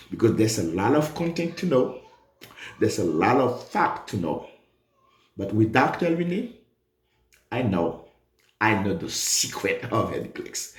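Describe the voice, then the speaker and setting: Guy tutoring for a nursing exam, lively pitch, slow deliberate pace, keeps repeating key points. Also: roomy sound. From Haiti. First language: Haitian Creole. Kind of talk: lively exam review lesson.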